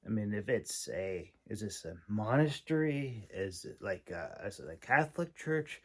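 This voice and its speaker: A man giving a casual, unscripted critique as a host, halting and thinking aloud with long pauses. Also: lowish voice, expressive. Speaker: host critiquing a writer's manuscript.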